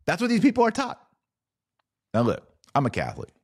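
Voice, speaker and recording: A man hosting a live podcast, giving religious commentary and answering comments in an emphatic, didactic yet conversational way with deliberate pauses. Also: high-pitched, huge pitch swings, slightly rough.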